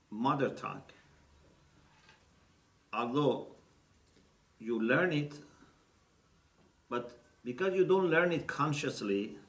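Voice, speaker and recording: A man's voice: mid-range voice, fairly bright tone. Male lecturer teaching carefully, keeps asking okay?, sounds far off and roomy. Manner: deliberate, explains step by step, checks in with "okay?". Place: lecture room. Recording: roomy echo, mic at a distance.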